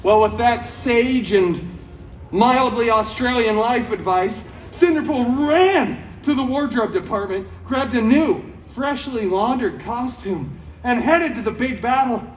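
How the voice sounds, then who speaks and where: A man playing a flamboyant, sarcastic antihero, telling a comic parody fairy tale to an audience with dramatic pauses. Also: very high-pitched, lively intonation, a little hiss or hum. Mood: sarcastic, theatrical. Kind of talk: comic live storytelling to an audience. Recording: roomy, distant live event with music behind.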